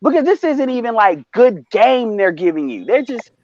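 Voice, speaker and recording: High-voiced heated man on a muffled line. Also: normal speed, no echo. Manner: animated, emphatic, swearing, restarts. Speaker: live stream panelist. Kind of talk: heated casual discussion.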